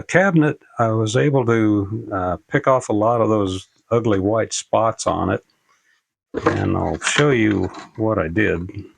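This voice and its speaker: Older male how-to presenter, creaky bass voice, plain-spoken and casual, halting delivery. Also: dry recording. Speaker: presenter of a radio repair tutorial. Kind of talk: casual unscripted how-to demonstration.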